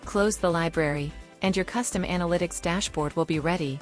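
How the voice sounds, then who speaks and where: Woman doing measured how-to voiceover, lowish voice, bit of music behind. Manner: measured, instructional. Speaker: narrator. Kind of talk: scripted tutorial narration.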